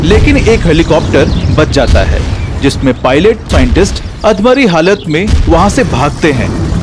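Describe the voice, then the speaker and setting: A man's voice: fairly high pitch, background noise. A man narrating a scripted story as a storyteller.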